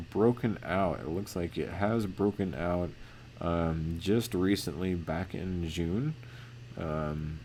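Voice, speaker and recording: A man teaching forex chart analysis in a casual, monotone way with lots of fillers and restarts. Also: sits low, slight background noise. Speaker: forex trading educator.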